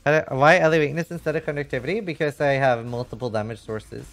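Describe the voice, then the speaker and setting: A man's voice: mid-range voice, fast talker, fairly bright tone, soft background music. A man doing casual live game commentary, reading chat and thinking aloud in a frank, rambling way with some casual swearing. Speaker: livestreamer.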